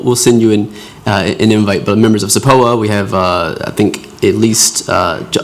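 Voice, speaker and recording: A man, a city official, replying at a council meeting in a hesitant, friendly way, restarting often. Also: lowish voice. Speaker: city official answering public comment. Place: council chamber.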